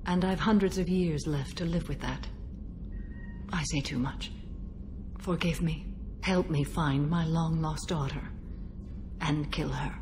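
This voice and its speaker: A woman playing an ancient alien warrior mother in a video game, stern and grieving, with formal, measured speech. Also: sits low, steady tone. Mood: somber, regretful. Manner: formal, measured, grave delivery. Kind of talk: dramatic video game acting. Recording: clearly noisy game audio, ambience.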